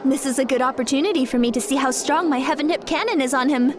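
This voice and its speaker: A woman's voice: sits high, fast, background noise, lightly acted. A woman playing a polite, determined young female martial artist in an audio drama. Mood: earnest, determined. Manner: polite, earnest.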